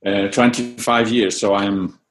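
A man's voice: lowish voice, fairly even intonation, measured pace, faint music behind, rough recording. A middle-aged man whose first language is Dutch, answering interview questions about his research with many fillers and restarts. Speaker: music researcher, interview guest.

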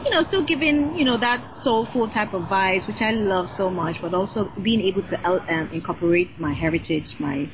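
An energetic woman of Nigerian heritage, a singer and designer, chatting freely as a podcast guest with many fillers. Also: medium pitch, normal speed, hardly any pauses, dull audio, background noise. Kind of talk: casual interview chat.

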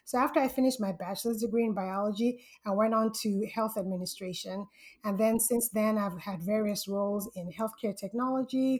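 A woman from Zambia, a guest on a podcast, telling her story in a lively way and laughing often. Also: medium pitch. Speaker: podcast guest, full-time stock trader. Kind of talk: casual interview storytelling.

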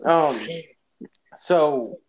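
A man's voice: on the high side, very slow, crowd noise, rough recording. A young man casually telling an anecdote in an informal, jokey, exaggerating way. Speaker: storyteller in a podcast-style phone chat.

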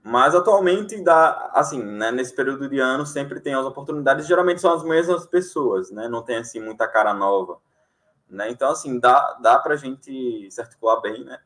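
A man's voice: medium pitch, moderate pace. A young man from Alagoas, Brazil, a sign language interpreter, chatting casually in an interview with frequent fillers and easy laughter. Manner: relaxed, many fillers, laughs easily.

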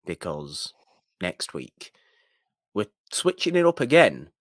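A man's voice: low-pitched, wildly swooping pitch, takes it slowly, no echo, studio quality. A man with a British accent co-hosting a film podcast in casual chat, a bit mock-pedantic and hedging as he talks. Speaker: podcast co-host.

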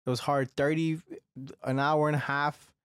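A man's voice: on the high side, level tone, moderate pace, a bit bright, smooth, no echo, clean studio sound. A young man hosting a solo podcast, rambling and swearing a lot, with a slightly congested, phlegmy throat. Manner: rambling, heavy swearing, frequent restarts. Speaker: podcast host. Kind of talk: casual solo podcast monologue.

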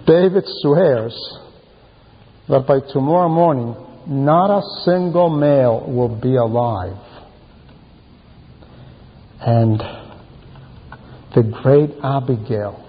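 A man's muffled voice rings through a long hall reverb. He preaches at an unhurried pace, breaking his thoughts into short, emphatic phrases. The delivery is that of a homily told as a story. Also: medium pitch. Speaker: preacher reading a translated homily. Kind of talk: sermon, retelling Bible stories.

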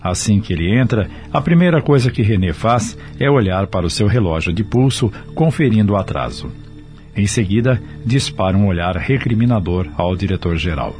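Low-voiced male radio drama narrator over music. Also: lively intonation, a little mellow. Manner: measured, dramatic storytelling. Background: music in the background.

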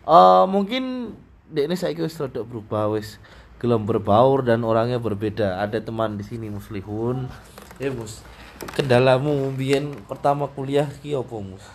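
A man's voice: mid-range voice, unhurried, slight background noise. A young man hosting a podcast, asking a friend casual, probing questions. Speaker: podcast host. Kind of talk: casual interview chat.

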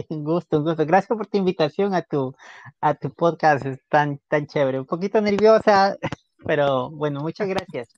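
A woman's voice: sits low, moderate pace, darkish tone, dry recording, muffled recording. A woman from Ecuador with a Guayaquil accent, a guest on a podcast, talking in a friendly, open and casual way. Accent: Guayaquil Ecuadorian Spanish. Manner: friendly, open, laughs easily. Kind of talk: casual conversational interview.

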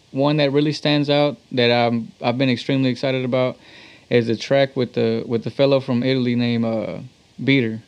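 A man's voice: medium pitch, a little mellow, smooth, muffled recording. A man from Texas, a musician, telling stories in a casual interview in laid-back, slangy street talk. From Texas, United States. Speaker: musician, talk box artist being interviewed. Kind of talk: casual interview, anecdotal storytelling.

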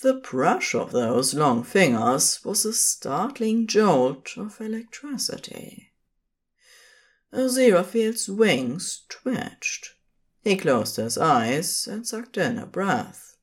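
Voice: medium pitch, sing-song, takes it slowly, husky. A narrator reading scripted fiction for an audio drama.

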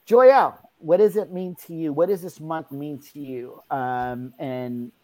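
Low-voiced effusive woman host. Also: a little slow, dark tone. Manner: effusive, repeats praise. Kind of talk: panel discussion hosting.

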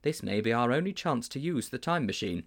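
A man's voice: medium pitch, animated, a little fast. A man voicing a pompous, indignant schoolboy in a storybook, speaking in grand, formal, emphatic phrases. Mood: bossy.

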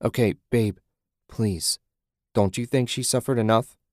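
A man playing an anxious husband in an audiobook, speaking in a placating, calming way. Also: mid-range voice, measured pace, no echo, studio quality, lightly acted. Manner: gentle, calming. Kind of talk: audiobook character acting.

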